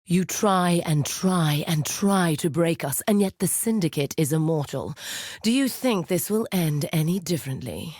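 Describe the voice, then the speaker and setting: An evil necromancer lady boss from a video game, slow deliberate delivery, theatrical and menacing, super dry recording. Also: fairly low pitch, dry recording. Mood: grim, contemptuous. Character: commanding, coldly seductive necromancer villainess, leader of a syndicate.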